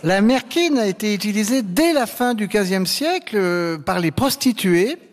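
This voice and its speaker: A man's voice: high voice, lively intonation, normal speed. A man giving humorous mock explanations as a game show panelist, with hesitant asides. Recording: reverberant hall.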